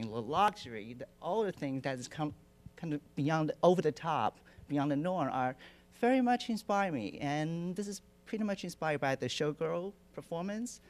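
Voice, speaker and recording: A middle-aged man from Taiwan, giving a relaxed lecture with many fillers and dry humor into a handheld microphone in a lecture hall. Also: on the high side. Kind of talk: lecture presentation. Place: lecture hall with projection screen.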